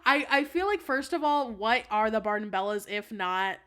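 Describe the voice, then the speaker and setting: A woman's voice: on the high side, lively intonation, normal speed, bright, forceful tone, smooth. A woman chatting casually and comedically as a podcast panelist, reasoning aloud in an informal way.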